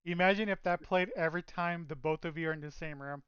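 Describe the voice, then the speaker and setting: A man's voice: a little high, fast, highs cut off, a crowd in the background. A man hosting a casual podcast over a call, joking around and restarting his sentences. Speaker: podcast host. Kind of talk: casual chat. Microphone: own mic on voice call.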